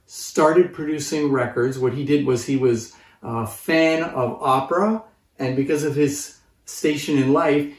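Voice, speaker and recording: A man's voice: mid-range voice, a little mellow. A man in his fifties presenting informally to camera as a collector, explaining things in a conversational way. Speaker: presenter and collector of antique phonographs. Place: living room, seated on a sofa. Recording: roomy, distant microphone.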